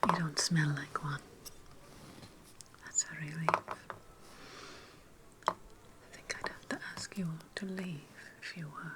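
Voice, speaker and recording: A woman's voice: deep voice, steady tone, takes it slowly, pauses often, heavy background noise. A woman voicing a haughty, playful ancient Roman noblewoman in a whispered ASMR roleplay, teasing and savoring her words, often repeating phrases. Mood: playful, probing.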